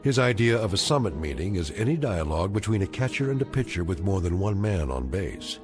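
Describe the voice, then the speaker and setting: An actor narrating an eerie radio drama in a measured, wry, knowing manner. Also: male voice, low-pitched, big pitch swings, music in the background. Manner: measured, sardonic.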